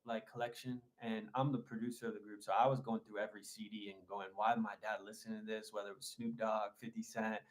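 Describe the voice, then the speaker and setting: Casting note: young male producer, low, even delivery, rambling and enthusiastic. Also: fast, few pauses, poor audio. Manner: rambling, enthusiastic storytelling with many fillers. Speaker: music producer, interview guest. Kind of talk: casual interview chat.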